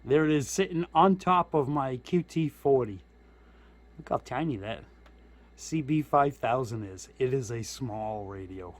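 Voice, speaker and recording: A man's voice: medium pitch, lively intonation, measured pace. A man giving a casual hands-on product demo, explaining step by step in an informal, folksy way. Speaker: host of a radio gear review channel.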